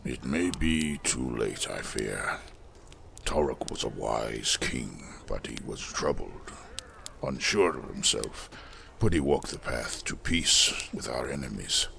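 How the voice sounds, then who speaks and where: An actor playing a weathered, solemn smith and former fire preacher in a fantasy audio drama, speaking in a gravelly, measured, reflective way. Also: male voice, extremely low pitch, expressive, sharp tone, slight background noise, heavily acted. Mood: troubled.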